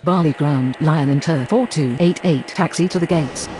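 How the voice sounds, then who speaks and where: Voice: medium pitch, normal speed, a little mellow, a little hiss or hum, natural voice. A performer voicing a procedural airport radio operator in a game, reading formal aviation phraseology. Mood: businesslike. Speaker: air traffic control radio voice.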